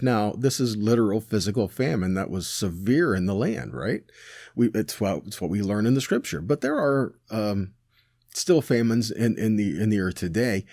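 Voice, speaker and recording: A man's voice: mid-range voice, darkish tone, dry recording, clean studio sound. A man teaching a scripture lesson in a casual, conversational way, reading verses aloud and paraphrasing them with frequent rhetorical questions and "right?". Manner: rhetorical questions, self-corrections. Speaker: teacher of a weekly Torah portion.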